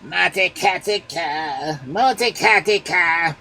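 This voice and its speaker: A storyteller voicing a huge menacing ogre who rises from a lake, speaking slowly and loudly. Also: medium pitch, wildly swooping pitch, vocal fry, slightly rough, heavily acted. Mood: calling.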